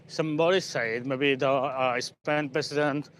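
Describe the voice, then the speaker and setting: A man with a Bangladeshi English accent talking casually on a live stream. He speaks haltingly, with many fillers and restarts, while walking outdoors in the evening. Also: mid-range voice, fairly even intonation, fairly bright tone, slight background noise. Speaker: channel host, English learner.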